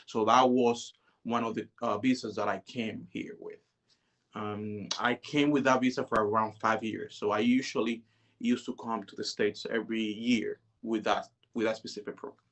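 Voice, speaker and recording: A man, telling his life story as an interview guest in a relaxed, hesitant way. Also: on the low side, normal speed. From Dominican Republic. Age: 30s. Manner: relaxed, hesitant, many "you know"s.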